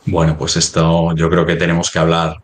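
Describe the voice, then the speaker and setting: A man from talking business conversationally, halting and rephrasing as he goes, often ending on tag questions. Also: low-pitched, monotone, slight background noise. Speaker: company representative in a webinar.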